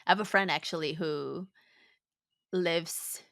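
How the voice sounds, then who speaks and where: A woman's voice: fairly low pitch, slow pace, creaky, fairly bright tone, no echo, studio quality. A woman hosting an interview, chatting casually and encouraging her guest with short agreements. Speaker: host.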